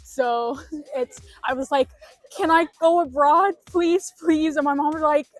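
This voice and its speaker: Giggly young woman, slightly high voice. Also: measured pace, no echo, soft background music. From Missouri, USA. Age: young adult. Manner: giggly and animated when telling stories. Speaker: student interviewee. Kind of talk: casual chat.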